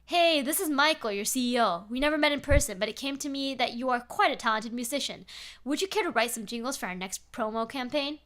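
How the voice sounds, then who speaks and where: A woman playing a self-important, friendly male company CEO in a horror story, brisk and salesy. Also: high-pitched, a bit bright. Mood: upbeat.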